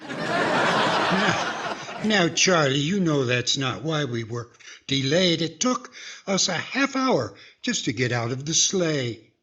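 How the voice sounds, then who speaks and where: Slow, husky, mild-mannered ventriloquist played by a man. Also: on the high side, huge pitch swings, sharp tone, exaggerated voice. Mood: mild, apologetic. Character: mild, polite ventriloquist correcting his cheeky dummy. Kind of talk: 1940s radio comedy acting.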